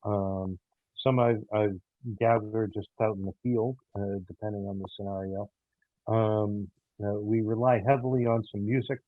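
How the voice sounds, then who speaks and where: Over a narrow, phone-like connection, a lower-voiced man talks in a casual interview. He is halting and hesitant, breaking his phrases up with many ums. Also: steady tone, no echo. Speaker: audio theater producer and guest. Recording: muffled, phone-like narrow sound.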